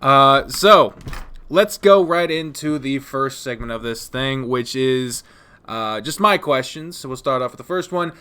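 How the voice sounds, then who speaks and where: A man hosting a casual interview, excitable and loose, swearing freely and laughing often. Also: medium pitch, measured pace, smooth. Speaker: interview host.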